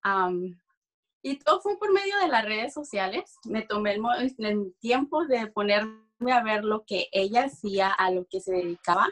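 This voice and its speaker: A young adult woman from Mexico with a Mexican accent, giving a personal testimony in conversation on a video call, halting as she searches for words. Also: medium pitch. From Durango, Mexico. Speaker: interview guest giving a testimonial. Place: small room at home, video call.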